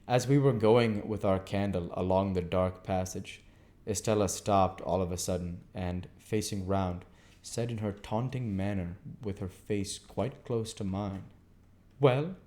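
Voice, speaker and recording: Man, low voice, dark mellow timbre, amateur novel narration, channel host reading aloud. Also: fairly even intonation, a little slow. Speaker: audiobook reader and channel host.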